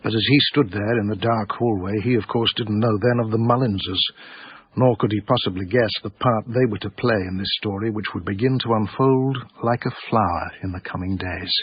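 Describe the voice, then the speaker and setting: An actor playing a wry, literary art dealer, narrating his own story in measured, florid phrases for radio drama. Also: fairly low pitch, slightly rough, no room sound, lo-fi sound. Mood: ominous, wry.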